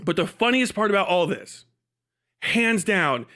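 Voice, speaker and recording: A male streamer with a high, bright, forceful voice. He talks casually to his viewers in an animated, mocking way and peppers his rambling with profanity. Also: a little slow. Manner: animated, mocking, swears freely. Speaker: live streamer. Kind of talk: casual livestream chat with profane ranting.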